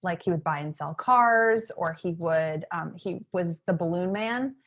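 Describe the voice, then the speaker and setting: A woman's voice: lowish voice, a little slow. A woman in her thirties from Maine, telling stories in a chatty interview with lots of fillers. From Maine, USA. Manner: chatty, frequent fillers, lively emphasis. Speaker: interview guest, entrepreneur. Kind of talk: casual conversational storytelling.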